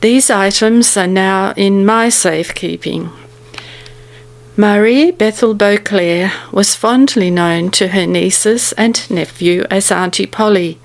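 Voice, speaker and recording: A woman's voice: medium pitch, slow pace. A woman in her seventies reading a prepared commemorative speech aloud in a formal, carefully worded manner. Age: 70s. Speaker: speaker giving a commemorative speech.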